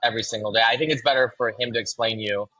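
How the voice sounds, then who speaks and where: A man from Los Angeles, a brand co-founder, talking casually in a podcast interview and pausing on ums and restarts. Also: mid-range voice, dull audio. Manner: relaxed, fills with ums and restarts.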